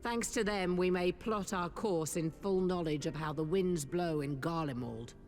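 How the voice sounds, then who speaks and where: A woman playing a stately leader in a fantasy game, speaking in a formal, measured way. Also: lowish voice, darkish tone, in a large hall, natural voice. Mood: solemn. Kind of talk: fantasy video game voice acting. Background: orchestral game music.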